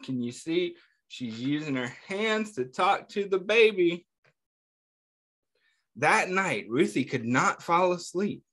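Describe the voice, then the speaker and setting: A man reading a picture book aloud to children, pausing to ask them gentle questions between passages. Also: high voice, expressive. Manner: slow, pausing reading with small slips. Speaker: children's storyteller. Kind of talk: read-aloud storytime.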